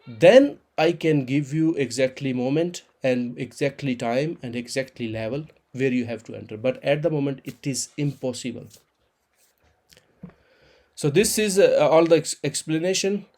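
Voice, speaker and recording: A man in his thirties, an Urdu speaker, explaining a trading chart in halting with many restarts. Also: mid-range voice, dark tone. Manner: halting non-native English, frequent restarts and repeated words. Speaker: crypto trading channel host.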